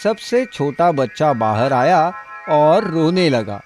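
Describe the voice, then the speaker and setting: A man narrating a scripted children's story in an expressive, dramatic storytelling manner. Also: a little high, slow, soft background music.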